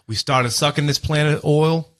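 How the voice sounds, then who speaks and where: A man's voice: a little high, steady tone, normal speed. A man co-hosting a podcast in casual, slangy banter, joking around as he talks. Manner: jokey, slangy, breaks into snatches of song. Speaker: podcast co-host who is also in a band.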